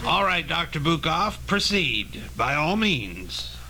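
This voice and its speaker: A man playing an insistent, authoritative military general in an old-fashioned radio drama, with formal, brisk questioning. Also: a little high, very animated, crowd noise, exaggerated voice. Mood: commanding. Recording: noisy old tape with hum.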